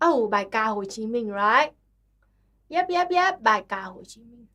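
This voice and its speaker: A woman's voice: on the high side, lively intonation, moderate pace, bright, forceful tone. A young woman with a Vietnamese accent, chatting casually and apologetically on a livestream, sounding tired. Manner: chatty, apologetic, self-deprecating. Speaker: livestream host. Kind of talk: casual livestream chat with singing.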